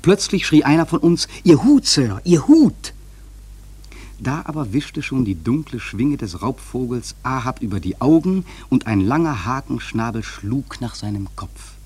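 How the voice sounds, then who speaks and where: A man acting a grave sailor who narrates a radio play in a measured, solemn literary style with dramatic swells and a husky voice. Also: medium pitch, very animated, darkish tone, heavily acted. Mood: urgent. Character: grave male sailor narrating a haunted whaling voyage.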